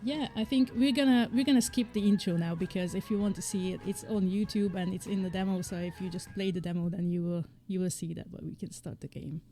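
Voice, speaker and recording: A woman's voice: on the low side, fairly even intonation, fast, few pauses, mellow, soft background music. A woman from Hungary, an indie game developer, chatting casually on a livestream with frequent fillers and light laughs. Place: home, living in the UK.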